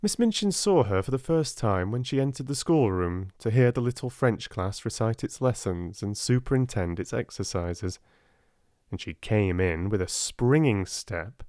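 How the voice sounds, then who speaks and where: A man with a British accent reading a storybook as a soft-spoken audiobook narrator. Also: medium pitch, dry recording, clean studio sound.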